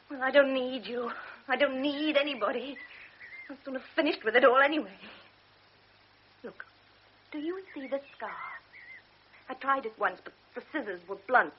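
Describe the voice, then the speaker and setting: A proud, bitter young woman. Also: high voice. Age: young adult. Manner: sharp, wounded, swings to shouting. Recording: dull radio drama recording with faint hiss.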